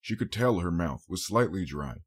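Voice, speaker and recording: A man's voice: low-pitched, animated, normal speed, slightly rough. A man reading a scripted story as an audiobook narrator.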